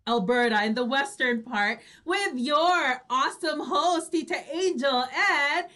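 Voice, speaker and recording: A woman's voice: high-pitched, lively intonation, a little slow, sharp tone. A woman co-hosting a casual radio show, bubbly and giggly with frequent exclamations. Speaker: online radio show co-host. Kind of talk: casual bantering live show chat.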